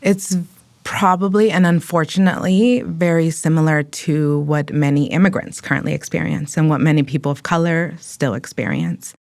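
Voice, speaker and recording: Lady lawyer being interviewed, deep voice, dry sound. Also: moderate pace, gravelly, a little mellow, no room sound. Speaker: legal director.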